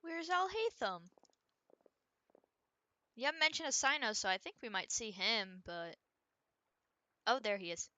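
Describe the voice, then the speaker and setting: A woman's voice: on the high side, expressive, unhurried, long pauses, sharp tone, no room sound. A woman streamer giving casual, chatty, informal commentary while she plays a game. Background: game music.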